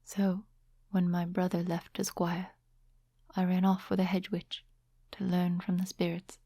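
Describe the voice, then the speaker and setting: Lady doing a soft spoken witch for asmr, whispery n mellow, calm polite n a bit mysterious, super close dry sound. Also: on the low side, no echo. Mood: wistful, reflective. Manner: unhurried, gentle, formal storybook phrasing. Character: soft-spoken female witch, composed, courteous, quietly mysterious night owl.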